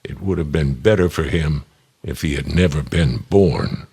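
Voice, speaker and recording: A man with a husky, gravelly voice giving a solemn, measured dramatic reading of scripture as a voice actor. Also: rumbling low, dark tone.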